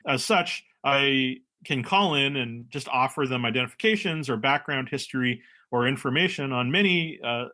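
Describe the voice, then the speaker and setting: Middle-aged man from California, bright tone, measured interview guest. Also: mid-range voice. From San Francisco, California. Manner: measured, explanatory, with frequent uh and um. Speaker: marine biologist guest. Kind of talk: relaxed interview talk.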